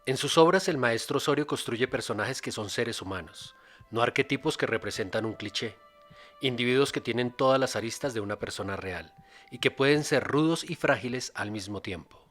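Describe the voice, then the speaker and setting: A man's voice: mid-range voice, normal speed, fairly bright tone, faint music behind. A man reading scripted documentary narration.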